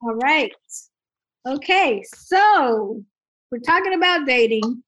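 A woman's voice: high-pitched, animated, slow pace, a bit bright, lo-fi sound. A woman hosting a casual podcast, introducing guests with playful energy. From Dayton, Ohio area. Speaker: podcast host.

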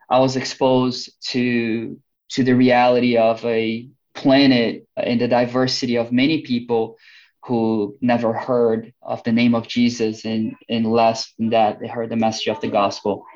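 A man's voice: medium pitch, darkish tone, lo-fi sound. A man in his thirties from Brazil with a Brazilian accent, a missionary guest talking eagerly on a podcast with many restarts. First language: Portuguese. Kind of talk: podcast interview.

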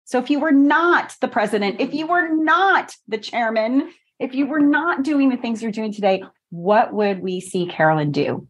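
A woman's voice: a little high, moderate pace. A woman hosting an interview in a conversational way, full of praise for her guest. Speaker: interview host.

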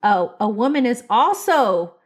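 A woman co-hosting a podcast in casual chat, talking in a bold, expressive, emphatic way. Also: a little high, measured pace, husky. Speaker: podcast co-host.

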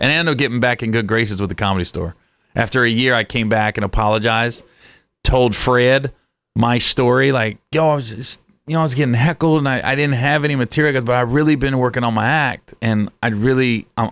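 A stand-up comedian in his forties spins stories in an easy, conversational way. He acts out the people in his tales with quick, animated shifts. His voice sits in a middle register and sounds dry and close to the mic. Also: medium pitch, no room sound. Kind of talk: casual storytelling chat.

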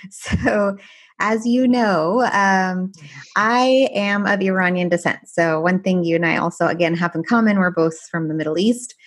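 A woman whose first language is Farsi, raised in France and Canada, co-hosting a podcast in a lively way. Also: medium pitch, measured pace. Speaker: pediatrician podcast co-host. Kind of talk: casual conversational chat.

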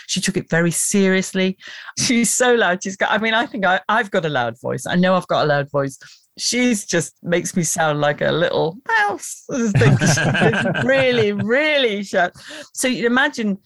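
A woman, a documentary producer and presenter, telling animated stories in an interview and laughing often. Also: medium pitch, measured pace, no room sound, clean studio sound. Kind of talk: conversational interview storytelling.

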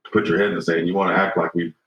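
A man's voice: deep voice, flat delivery, rapid-fire, a bit bright. A man in his fifties, a podcast guest talking earnestly and reflectively, often checking in with "right?". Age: 50s. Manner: earnest, reflective, frequent "you know" and "right?". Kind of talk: podcast conversation. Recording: roomy, dull lo-fi sound.

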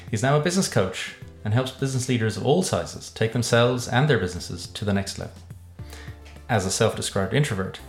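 A man hosting a podcast, reading a scripted introduction and then chatting casually with a guest, measured and hesitant with fillers. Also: medium pitch. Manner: measured, with fillers and restarts when unscripted. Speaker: podcast host. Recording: roomy sound with faint music behind.

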